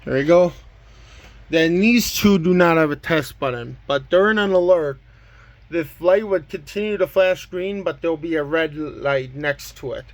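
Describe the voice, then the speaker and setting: Slightly high-voiced man giving casual show-and-tell. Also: normal speed, no echo. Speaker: collector presenting a weather radio collection.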